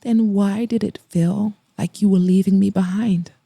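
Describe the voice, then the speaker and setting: A hurt, bitter woman in a romance audiobook, played by a woman narrator. She is accusing and emotional, with sharp words in a soft dark tone, recorded close and dry. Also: mid-range voice, steady tone, normal speed, gravelly, husky, dry recording. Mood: wounded, demanding. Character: hurt, resentful woman confronting her former lover.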